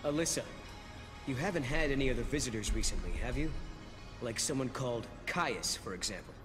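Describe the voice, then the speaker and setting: A man's voice: mid-range voice, lively intonation, brisk, big-room sound, natural voice. Man acting a young hero over game music. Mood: serious. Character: earnest young male time-traveling adventurer, determined.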